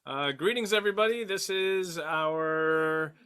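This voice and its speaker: A man hosting a casual group podcast, talking in a halting, jokey way. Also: on the high side, sharp tone, smooth. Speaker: podcast host.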